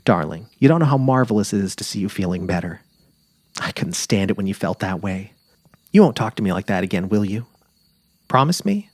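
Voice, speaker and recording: A man's voice: lowish voice, very animated, fairly quick, darkish tone, no room sound. A man voicing an affectionate middle-aged wife on safari, eager and tender, in a dramatic literary reading. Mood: gushing, tender. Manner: cheerful, eager, tender, imploring.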